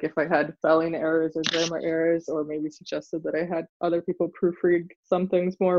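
A man answering interview questions in a casual, chatty way, chuckling often and hedging with soft qualifiers. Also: on the high side, gravelly. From United States. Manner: chuckles often, hedges with "maybe" and "kind of". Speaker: interview guest, former exchange student. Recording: dull lo-fi recording.

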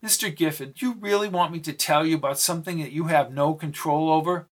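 A man's voice: on the high side, brisk, lightly acted. A man voicing a cool, composed young woman. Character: calm, composed young woman, dryly firm.

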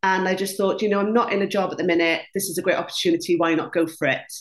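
Guest: excitable woman, native Welsh speaker, slightly low pitch, recorded in a roomy, echoing space. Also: quick pace. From Wales. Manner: chatty, enthusiastic, frequent ums. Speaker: guest, dietitian and former baking contestant. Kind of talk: interview. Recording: roomy echo.

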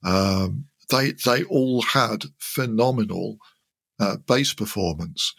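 Expert guest, male, sixties or older. Deepish, creaky voice, thoughtful and halting technical explanations. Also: a bit bright, dry recording. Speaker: interview guest, loudspeaker designer.

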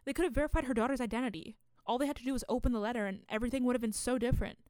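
A woman's voice: a little high, rapid-fire, darkish tone, no echo, close to an everyday voice. A woman acting in a radio play as a determined, indignant investigator.

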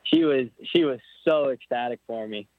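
A young man from Pennsylvania talks in an easygoing, rambling way and often restarts his sentences. He comes through a tinny phone line with a dry sound and no room echo. His pitch sits in an ordinary middle range. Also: moderate pace. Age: young adult. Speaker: guest, motorcycle racer. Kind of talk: casual interview over the phone.

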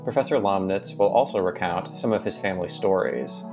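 A man's voice: sits low, sing-song, fairly quick, music in the background. A man reading a formal scripted introduction of the next speaker.

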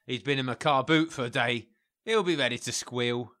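An actor playing a loyal gangster's henchman in a dark comedy crime drama, deferential, soothing and matter-of-fact. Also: medium pitch, normal speed, close to an everyday voice.

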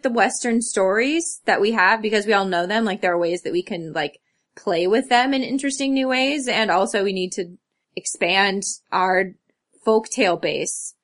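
A woman co-hosting a casual podcast chat, talking earnestly and haltingly, with "like" scattered all through. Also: on the high side, a bit bright. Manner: earnest, halting, filler-heavy with frequent "like". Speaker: podcast co-host. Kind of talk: casual chat about fandom and stories.

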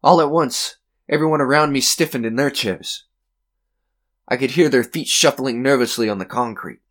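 An actor playing a tormented, unhinged man recounting his own doom with halting intensity in a radio suspense drama. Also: mid-range voice, a bit bright, natural voice. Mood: agitated disbelief. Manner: intense, halting, theatrical, repeating phrases.

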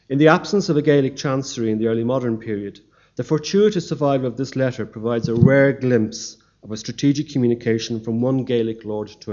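A man giving a formal academic history lecture, reading from a prepared paper in a measured way with the odd stumble. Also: medium pitch, a little mellow, lo-fi sound.

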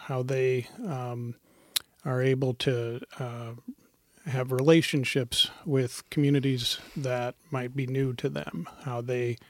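Middle-aged man, program director type; gravelly, measured, hesitant delivery. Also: medium pitch, no echo, clean studio sound. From Montana. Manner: thoughtful, hesitant, many fillers. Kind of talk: interview answers.

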